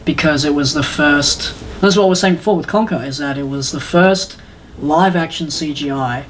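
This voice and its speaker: A man's voice: a little high, moderate pace, a bit bright. Enthusiastic man over background noise. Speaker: podcast panelist. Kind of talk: casual, enthusiastic podcast chat.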